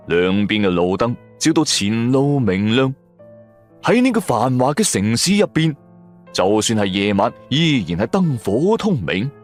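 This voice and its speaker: A man giving suspenseful, expressive narration of a scripted horror drama. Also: mid-range voice. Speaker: storyteller narrator.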